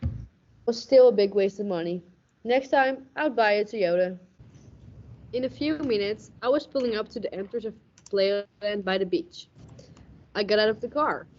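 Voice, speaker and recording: A young woman playing a cynical, hardboiled police lieutenant with deadpan, tough-talking noir narration in a radio play parody. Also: medium pitch, normal speed, pauses often, slight background noise, lightly acted. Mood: brooding, deadpan. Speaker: student radio play actor. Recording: dull, muffled lo-fi recording.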